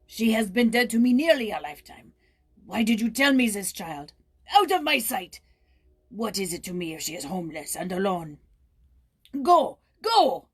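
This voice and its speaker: Woman acting out a bitter old storybook man, vehement, abrupt, husky. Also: mid-range voice, sing-song, normal speed. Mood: furious. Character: agitated, bitter, shaggy-browed old man.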